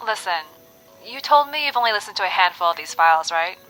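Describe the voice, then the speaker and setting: Bright-voiced, cryptic, earnest young woman, acted. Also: medium pitch, animated, quick pace, soft background music, low-quality recording. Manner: cryptic, insistent. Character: Alina, earnest, secretive young woman urging on a caller. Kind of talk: audio drama phone conversation.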